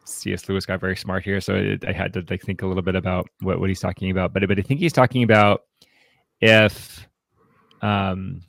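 Man, lower-pitched voice, dry sound, podcast host, casual discussion, halting delivery, repeated words. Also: level tone, vocal fry, fairly bright tone, no echo.

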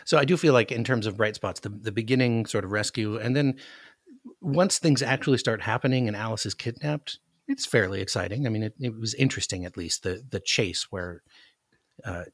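A man's voice: fairly low pitch, a little fast, no echo, studio quality. A man talking as a podcast guest, in a conversational, thoughtful way about a book he has read. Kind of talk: casual book discussion chat.

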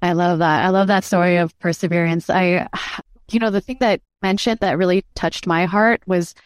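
A woman podcast host whose first language is Vietnamese, warmly affirming her guest in an effusive manner. Her voice is on the low side for a woman, and the sound is dry and close-miked. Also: steady tone, moderate pace, smooth, dry recording. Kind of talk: casual interview chat.

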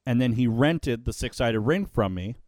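A man who works as a wrestling ring announcer, telling stories in a casual podcast chat. He has a loud voice and gets animated, often repeating words when he is excited. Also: mid-range voice, moderate pace, no echo, studio quality.

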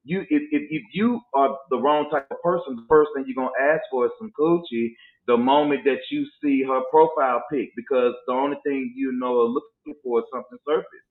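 A man's voice: medium pitch, fairly quick. Emphatic man in his forties, tinny echoey sound. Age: 40s. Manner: preachy, emphatic, slips into mock voices to make a point. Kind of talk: casual podcast chat about dating.

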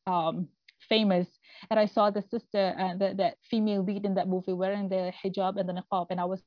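A woman's voice: mid-range voice, level tone, brisk. Singaporean woman in a roomy, muffled recording. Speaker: podcast guest, family trauma coach. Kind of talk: casual conversational interview. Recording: roomy, slightly dull audio.

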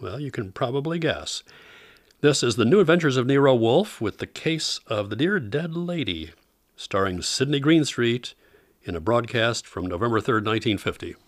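A man hosting a radio anthology with measured, slightly wry scripted narration. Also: mid-range voice, animated, dry recording, clean studio sound. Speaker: host of an old-time radio anthology. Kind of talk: scripted narration, program introductions.

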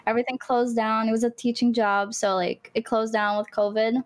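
Chatty young woman, higher voice. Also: level tone, brisk. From Greece. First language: Greek. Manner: chatty, laughing, heavy use of "like". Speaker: guest, gamer and streamer. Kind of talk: casual podcast chat.

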